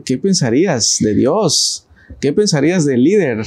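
A man's voice: fairly high pitch, sing-song, a little slow, a little mellow, breathy edge. A man in his thirties with a Mexican accent, hosting a studio Bible study and putting questions to the panel, with frequent hesitations. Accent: Mexican Spanish. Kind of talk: casual Bible study discussion. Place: TV studio set. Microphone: lapel.

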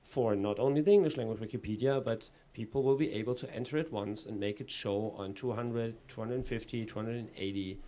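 A man in his thirties answering an audience question on a conference panel, measured and full of small fillers, into a table microphone in a conference room. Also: on the low side, warm tone. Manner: measured, with frequent fillers and restarts. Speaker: panelist presenting a project.

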